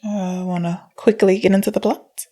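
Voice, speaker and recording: A woman's voice: medium pitch, fairly even intonation, normal speed, mellow. A woman co-hosting a podcast, casually recapping and discussing a film in an informal, questioning way, often unsure of details. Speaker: podcast co-host discussing films.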